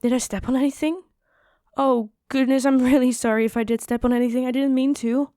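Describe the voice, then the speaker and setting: A kind giant woman, as a voice actress plays her in a fantasy ASMR roleplay. Her voice sits fairly high and is recorded dry, without room sound. She talks courteously and earnestly, with an apologetic, slightly hesitant manner. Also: fairly even intonation, brisk, mellow, dry recording, lightly acted. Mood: flustered, apologetic.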